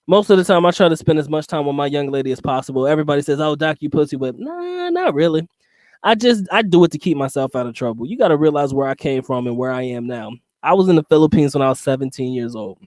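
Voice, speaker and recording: A man's voice: on the high side, animated, fast talker, darkish tone, no room sound, clean studio sound. A middle-aged man telling stories in a loose, rambling way and talking straight to his viewers as a livestream host. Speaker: channel host reading live chat. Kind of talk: casual livestream storytelling.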